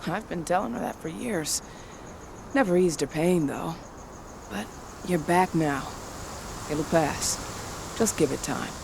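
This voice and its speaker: Higher-voiced, frank rebel tech saboteur. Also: male voice, measured pace, gravelly, pauses often, noisy background. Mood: sympathetic. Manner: casual, frank. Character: pragmatic, supportive rebel comrade and tech saboteur. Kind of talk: video game cutscene acting.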